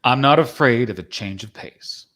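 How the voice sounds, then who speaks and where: A man's voice: mid-range voice, moderate pace, fairly bright tone, natural voice. A man playing a laconic, wry cowboy in a dramatic script reading.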